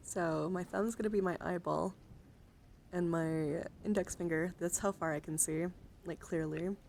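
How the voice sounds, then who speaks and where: A soft-spoken woman live streamer doing a casual ASMR card show-and-tell, chatting and often dropping into a whisper. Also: lowish voice, steady tone, unhurried, gravelly, slight background noise.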